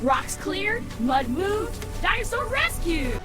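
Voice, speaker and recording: A woman's voice: very high-pitched, very animated, slow, full character voice. A woman voicing a cheerful young dinosaur rescue team leader in a children's cartoon, with an upbeat, encouraging manner. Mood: excited. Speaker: cartoon character voice. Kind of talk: children's animated adventure dialogue. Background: loud music.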